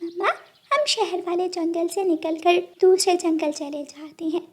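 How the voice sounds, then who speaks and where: Cartoon elder daughter bird, played by a woman: high, gentle, sensible, reassuring. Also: fairly even intonation, a little slow. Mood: concerned, reassuring.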